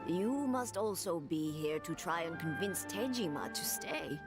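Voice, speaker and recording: A woman's voice: lowish voice, animated, unhurried, faint music behind. An actress playing a worried older village woman who speaks in a pleading, distressed way.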